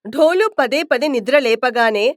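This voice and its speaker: A woman's voice: on the high side, animated, moderate pace, no room sound, studio quality. A woman reading scripted narration for a children's animal story.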